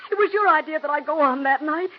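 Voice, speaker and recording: An actress playing a young, ambitious stage actress who pleads tearfully and breathlessly, in 1940s radio drama style. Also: female voice, sits high, quick pace, very noisy, natural voice. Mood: pleading. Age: young adult. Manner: breathless, pleading, breaks into sobs. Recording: dull old radio broadcast.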